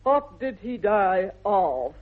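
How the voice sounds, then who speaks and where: A woman's voice: mid-range voice, very animated, takes it slowly. An actress playing a formidable older upper-class English mother in a 1960s radio comedy, haughty and declamatory, with precise articulation. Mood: imperious disapproval. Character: imperious, formidable aristocratic English mother and aunt. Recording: tinny, band-limited vintage radio recording.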